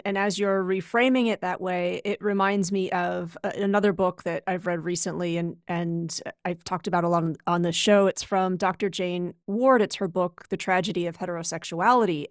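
A woman's voice: on the low side, steady tone, normal speed, hardly any pauses, no room sound, studio quality. A middle-aged woman hosting a podcast, talking conversationally and thoughtfully with plenty of filler words. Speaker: podcast host. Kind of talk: podcast interview.

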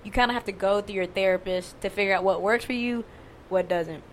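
A young woman with a fairly monotone voice, talking candidly and casually, swearing and using lots of fillers. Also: mid-range voice, a little fast, a little hiss or hum. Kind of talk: casual conversation.

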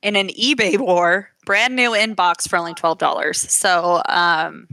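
A woman co-hosting a podcast on a gaming headset while lying at home, with a wry, deadpan way of talking. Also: on the low side, unhurried, low-quality recording. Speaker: podcast co-host. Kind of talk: casual chat. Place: lying in a corner of her home.

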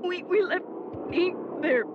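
A woman playing a wounded, tender-hearted young man in an audio drama, speaking in a halting, strained, pleading way. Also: very high voice. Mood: distressed, guilty. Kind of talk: naturalistic audio drama acting. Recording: dull sound with vehicle road noise.

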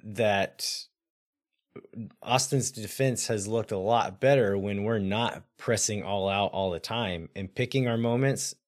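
Raspy, hoarse low-voiced man. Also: unhurried. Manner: analytical, thinks aloud with fillers. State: hoarse from yelling. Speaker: podcast co-host. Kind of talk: casual sports podcast chat.

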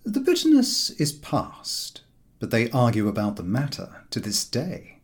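A man reading a comic novel aloud as an expressive audiobook narrator. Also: mid-range voice, a little slow.

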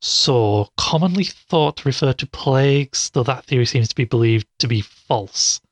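An actor playing a skeptical investigative podcast host in an audio drama, haltingly thinking aloud. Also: male voice, medium pitch, lively intonation, dry recording, lightly acted. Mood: puzzled, skeptical. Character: skeptical male investigative show host puzzling over clues.

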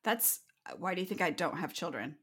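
Wisecracking woman with a bright, lowish voice. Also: expressive, fairly quick, breathy edge. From Iowa. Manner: wisecracking, swears freely. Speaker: podcast co-host. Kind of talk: casual true-crime podcast chat.

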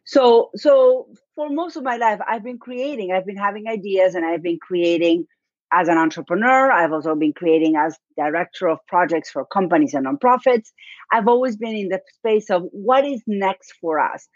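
A woman's voice: medium pitch, moderate pace, dry recording. A woman in her forties with an Argentine accent, a guest on an interview show, telling her story with many fillers and small laughs. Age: 40s. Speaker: guest, business coach. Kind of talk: interview conversation. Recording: dry, dull lo-fi audio.

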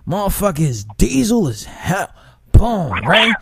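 A man with a high voice hosting a casual radio chat, animated and profane, giving shout-outs and often repeating himself. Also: unhurried, vocal fry, breathy edge, dry recording, studio quality. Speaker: internet radio host.

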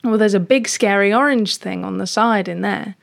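A woman's voice: medium pitch, moderate pace, vocal fry, natural voice. A woman voicing an anxious, easily frightened little male cat in a panicky, stammering, earnest way.

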